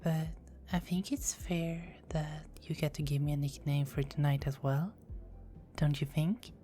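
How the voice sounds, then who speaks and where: Woman doing a teasing vampire lady, low voice, slow and mellow, soft whispers, faint music behind. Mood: wistful. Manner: soft-spoken, often whispering, playful teasing. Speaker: performer of own script. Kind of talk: ASMR roleplay.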